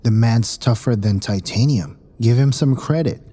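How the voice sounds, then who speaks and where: A man's voice: medium pitch, moderate pace, dark tone, slightly rough, natural voice. A man playing a blunt, stoic spacecraft crew member in an audio drama. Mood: gruff, loyal.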